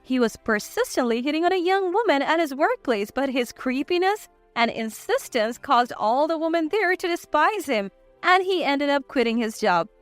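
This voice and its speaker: Female story narrator, very high voice, animated delivery, music underneath. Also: no room sound. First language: English. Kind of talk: scripted first-person story narration.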